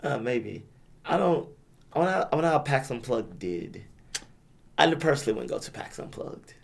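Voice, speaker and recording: A young man hosting a casual podcast, hoarse from losing his voice, with laid-back, self-deprecating banter. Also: medium pitch, very animated, normal speed. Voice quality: raspy. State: hoarse, lost voice. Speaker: podcast host.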